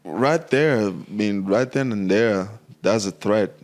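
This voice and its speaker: A man's voice: fairly low pitch, slow pace. A young man from Kenya, a military veteran guest on a podcast, talking casually, laughing often and repeating phrases for emphasis. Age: young adult. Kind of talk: casual conversation.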